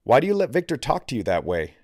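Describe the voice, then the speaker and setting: A man voicing a pestering fifteen-year-old boy in an audiobook, with a quick, questioning manner. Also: mid-range voice, huge pitch swings, darkish tone, no echo, studio quality, pitched above the performer's own voice. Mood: insistent.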